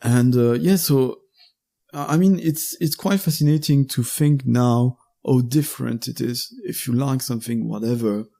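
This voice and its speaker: A man in his thirties with a Belgian French accent, talking as a guest on a podcast interview in English, his second language. His voice is gravelly and mellow, and he speaks haltingly, stopping often to find the right word. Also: medium pitch. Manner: halting and searching for words. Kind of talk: casual conversational interview.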